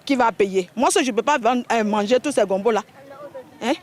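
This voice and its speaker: A woman's voice: a little high, fairly quick. A woman market vendor giving plaintive, spontaneous testimony full of rhetorical questions. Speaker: market vendor interviewed for news.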